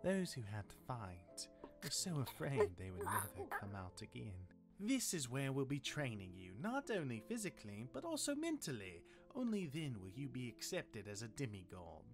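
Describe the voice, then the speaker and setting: A man plays a demigod elder brother in a fantasy audio drama. He teaches in a chatty, patient way with an animated, mid-pitched voice. Soft music sits underneath. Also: moderate pace. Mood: solemn. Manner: conversational, instructive, hesitant asides.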